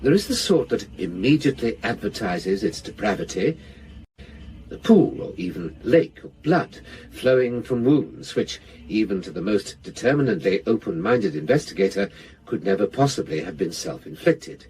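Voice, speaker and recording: A man acting as an audiobook reader, narrating a literary detective novel in a measured, portentous manner. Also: lowish voice, very animated, darkish tone, poor audio. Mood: grave, measured.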